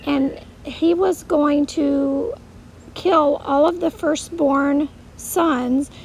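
A woman's voice: high-pitched, a little mellow, a little hiss or hum, rough recording. A woman teaching children, telling a Bible story in simple, plain language.

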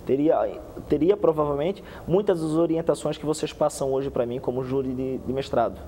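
A man's voice: fairly high pitch, long reverb tail, slight background noise. A young man speaking as a guest in a TV studio interview, explaining with many restarts, on a lapel mic. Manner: fast, animated explaining with many restarts and hand gestures. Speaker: guest researcher. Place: TV studio set.

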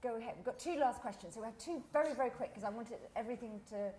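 Higher-voiced woman chairing a Q&A. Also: a little mellow, slight background noise. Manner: brisk, many short "mm" backchannels. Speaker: event chair. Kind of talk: chairing a Q&A, winding up. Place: conference room with audience.

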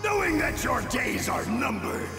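A vengeful dying alien seer from an anime game, pitched extremely high and drawing out each threat. The delivery is gloating and ominous, drenched in long reverb with music behind. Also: male voice, very animated, a little slow, full character voice. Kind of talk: anime game dramatic acting.

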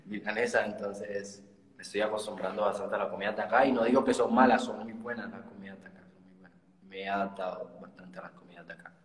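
A young man from Colombia with a Caribbean coast accent, answering interview questions over a phone video call, often trailing off softly at the ends of phrases. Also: on the low side, fairly even intonation, fairly quick. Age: young adult. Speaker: youth football player, guest. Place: indoors, small room. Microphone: phone front camera. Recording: echoey, reverberant phone audio.

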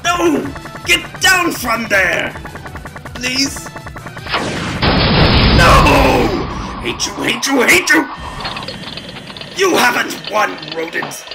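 A man's voice: very high-pitched, very animated, very slow, creaky, pauses often, over loud music, heavily acted. A man voicing a pompous, villainous mad scientist who rants theatrically in a cartoon comic dub. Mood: ranting. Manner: pompous, ranting, cackling.

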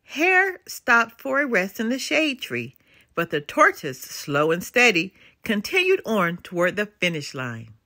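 Female picture-book narrator, expressive, unhurried, clear bright tone, swooping intonation. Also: mid-range voice. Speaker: storyteller. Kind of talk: expressive children's book read-aloud.

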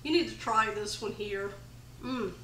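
A woman's voice: mid-range voice, measured pace, bright, forceful tone, slight background noise, poor audio. A middle-aged woman in her home kitchen, chatting viewers step by step through a casserole recipe. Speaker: home cooking channel host. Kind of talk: casual cooking demonstration.

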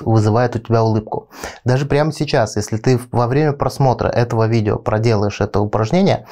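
A man's voice: mid-range voice, warm tone. A man in his thirties giving an instructional talk as a video coach, explaining step by step and addressing the viewer directly. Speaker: video blog host, coach and trainer. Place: small indoor studio with panelled backdrop. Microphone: lapel mic.

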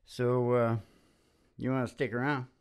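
An older man hosting a homemade show with informal, halting, joking banter into a stage microphone. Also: mid-range voice, little pitch movement, smooth. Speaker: radio-style show host and country singer. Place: small dim wood-panelled room, indoors. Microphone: vocal microphone on a stand. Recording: dull lo-fi live recording with guitar.

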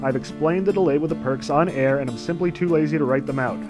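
A man's voice: on the high side, fast talker, over music. A man giving a sarcastic commentary as a video essay host, dry and mocking.

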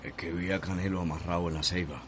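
A man's voice: sits low, steady tone, quick pace, close to an everyday voice. An actor playing a guarded, deferential enslaved man in a radio soap, with a Cuban accent. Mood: guarded, respectful. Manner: deferential, restrained. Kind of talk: radio soap opera acting. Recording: music and ambient noise behind voice.

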